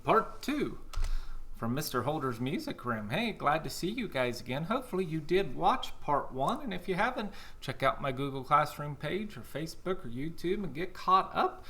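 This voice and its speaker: A man's voice: fairly high pitch, big pitch swings, normal speed, bright, forceful tone. A man teaching a cheerful children's music lesson on video, encouraging the kids watching at home.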